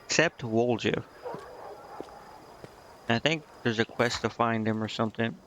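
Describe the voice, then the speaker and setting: A man giving casual game commentary as a streamer, rambling and thinking out loud. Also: medium pitch, unhurried. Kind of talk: casual gameplay commentary, reading game dialogue aloud. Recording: muffled mic with clear background noise.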